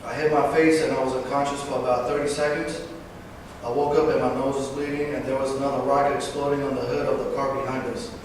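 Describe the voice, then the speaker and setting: A man in his twenties from Northern California, a veteran giving a personal talk, halting at times with fillers and self-corrections. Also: mid-range voice, little pitch movement. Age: 20s. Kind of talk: personal speech read to an audience. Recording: distant, echoey hall recording with background noise.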